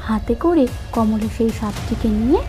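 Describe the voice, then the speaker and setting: A woman's voice: a little high, animated, normal speed, music in the background. A woman narrating a scripted cartoon folk story as the storyteller.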